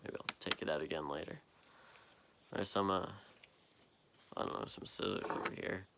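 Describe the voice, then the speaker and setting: A tired young man with a deep voice, rambling slowly in a late-night vlog, halting and full of fillers, recorded on a propped-up phone in a quiet basement. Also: noisy background. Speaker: vlogger. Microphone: phone propped up nearby.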